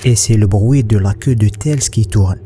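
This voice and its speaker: A man's voice: lowish voice, unhurried, background noise. A man delivering scripted explanatory narration in the manner of a horror video recap.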